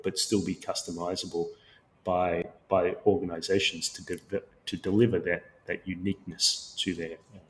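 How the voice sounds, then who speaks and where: A middle-aged man with an Australian accent, born in Sri Lanka, giving measured, explanatory answers as a co-founder guest in a business interview. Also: sits low, slight background noise, low-quality recording. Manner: measured, explanatory, with occasional restarts.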